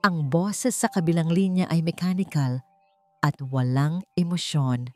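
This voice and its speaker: A woman with a low voice narrates a romance drama over music. Her delivery is measured and melodramatic, in the manner of scripted storytelling. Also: mellow. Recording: music behind the voice. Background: background music.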